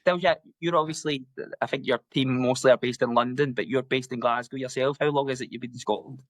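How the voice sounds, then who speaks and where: A man's voice: mid-range voice, fairly even intonation, fast talker, dry recording. A man hosting an interview podcast in a casual chatty style. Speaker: podcast host.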